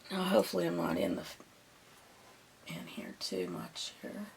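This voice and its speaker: A woman teaching a live painting lesson, who is losing her voice and keeps clearing her throat, murmuring relaxed step-by-step commentary. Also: rumbling low, moderate pace. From Pittsburgh. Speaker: painting instructor.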